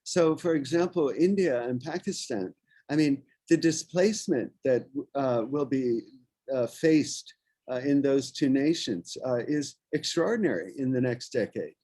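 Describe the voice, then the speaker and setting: A man speaking off the cuff on a panel in a halting, heavily hesitant way. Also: medium pitch, expressive, warm tone. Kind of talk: unscripted panel discussion.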